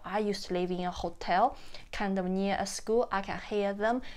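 A young woman with a Chinese accent gives travel advice to the camera from her living-room sofa. She talks in a chatty, meandering way, repeating and restarting phrases. The camera sits just in front of her. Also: mid-range voice. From China. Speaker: vlogger. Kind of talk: casual travel tips to camera. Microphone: camera in front, framed from the chest down.

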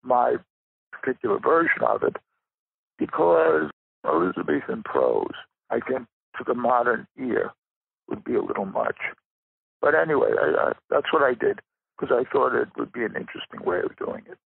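A man with a raspy, gravelly voice giving reflective interview answers as a guest writer, pausing for emphasis. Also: medium pitch, sing-song, no room sound. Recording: muffled narrow phone-like line.

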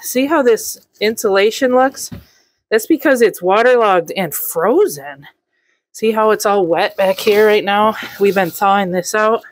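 Slow, chatty woman in a camper. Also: medium pitch. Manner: relaxed, chatty, with frequent ums and light laughs. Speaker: vlog host. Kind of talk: casual walkthrough narration. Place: inside a gutted camper trailer.

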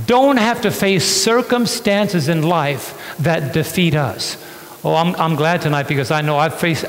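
High-voiced male pastor preaching in reverberant hall. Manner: emphatic, stretching key words, questioning listeners. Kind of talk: sermon with rhetorical questions to congregation. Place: church hall, on stage. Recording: reverberant church hall sound.

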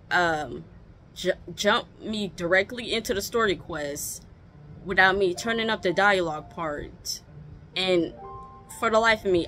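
A woman's voice: mid-range voice, bright, forceful tone. A young adult woman giving casual, chatty gameplay commentary with grumbling asides, recorded indoors at home. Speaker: gaming streamer doing reaction commentary. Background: video game music.

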